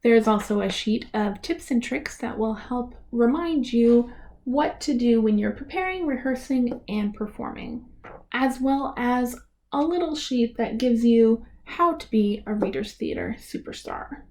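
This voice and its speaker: A woman presents a how-to for families in a fairly high voice. She goes slowly, enunciating each word with deliberate care. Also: expressive, a little mellow. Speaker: presenter of a library reader's theater tutorial.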